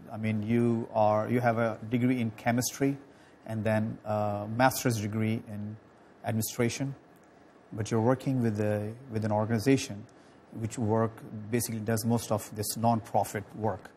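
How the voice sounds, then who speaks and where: Halting male talk show host. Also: fairly low pitch, little pitch movement, darkish tone, slight background noise. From Pakistan. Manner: halting, many fillers and restarts. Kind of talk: studio interview.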